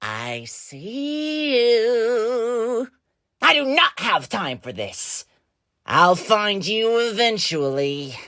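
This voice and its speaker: High, haughty superstar robot. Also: female voice, big pitch swings, extremely slow, a bit bright, dry recording, studio quality, full character voice. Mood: smug, haughty. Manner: haughty, dismissive. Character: vain, smug celebrity star animatronic. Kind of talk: video game character voice lines.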